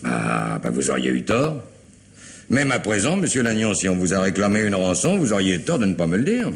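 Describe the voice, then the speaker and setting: A man's voice: low-pitched, huge pitch swings, fairly quick, creaky, heavily acted. An actor playing a middle-aged police commissioner in a French radio mystery, polite, methodical and insistent as he questions. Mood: probing. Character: persistent, probing police commissioner questioning a family.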